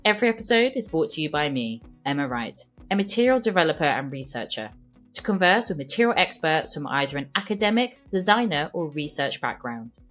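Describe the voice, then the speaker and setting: Low-voiced, croaky woman podcast host. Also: very animated, a little fast, a bit bright, faint music behind. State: croaky voice from recent illness. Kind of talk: scripted intro, then casual interview chat.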